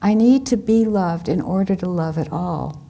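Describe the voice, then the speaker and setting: A woman's voice: fairly low pitch, normal speed, creaky, mellow. A woman reading the lines of an anguished young mother who speaks in an earnest, pleading way.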